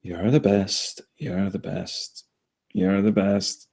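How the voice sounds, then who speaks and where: Man playing an anxious, husky, hapless spaceman. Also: deep voice, steady tone, takes it slowly, darkish tone, exaggerated voice. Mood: nervous pep talk. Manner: nervous, self-coaxing. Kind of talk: acted comedy table read.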